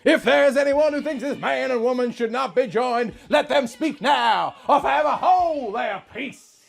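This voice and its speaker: A man playing an over-dramatic wedding preacher, loud and bombastic, declaiming in drawn-out theatrical phrases for a comic reading. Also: very high-pitched, gravelly, voice pushed up, heavily acted. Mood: bombastic, solemn.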